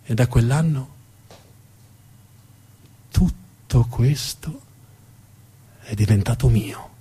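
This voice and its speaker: A man's voice: mid-range voice, extremely slow, mellow, husky, full character voice. A man playing a proud, emphatic company heir. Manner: emphatic, repeating key words. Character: proud male heir, company chief executive.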